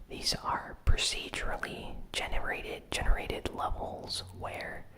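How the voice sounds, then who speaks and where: Someone doing asmr gaming news, all breathy whisper, no voice in it, chatty and informal. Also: poor audio. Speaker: host of a gaming news show. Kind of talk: ASMR news recap with casual commentary.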